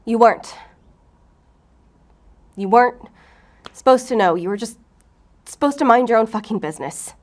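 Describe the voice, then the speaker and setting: A woman's voice: on the high side, moderate pace, pauses often, darkish tone, natural voice. A woman playing a curt, defensive, foul-mouthed FBI agent in an audio drama. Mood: cold anger. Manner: curt, profane, irritable. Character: volatile, defensive, obsessive female FBI agent.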